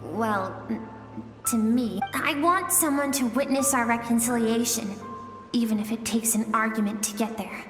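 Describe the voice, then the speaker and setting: Casting: actress as tender, earnest inner-self spirit of a young woman, game drama, high voice in long reverb. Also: moderate pace, lightly acted. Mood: earnest, solemn. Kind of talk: scripted video game voice acting. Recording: echoey long reverb, music behind.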